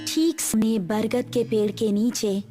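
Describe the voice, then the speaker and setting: A woman's voice: on the high side, unhurried, mellow, faint music behind. A woman reading a scripted horror story as its narrator.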